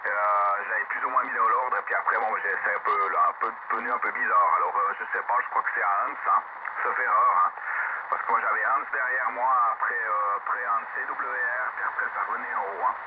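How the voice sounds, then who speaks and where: A man's voice: fairly low pitch, fairly even intonation, brisk. A man chatting casually as an amateur radio operator, rambling and hesitant, over a shortwave radio link. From Switzerland. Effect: shortwave radio transmission. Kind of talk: casual technical chat over ham radio. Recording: narrow tinny shortwave signal, loud static noise.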